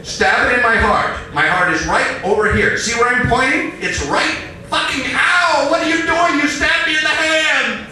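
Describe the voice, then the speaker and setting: A man performing an agonized, furious man demanding to be killed, in shrill, profane outbursts on a roomy stage recording. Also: normal speed, pushed and bright, heavily acted. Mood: pained, exasperated. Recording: roomy live stage, distant mic, faint noise.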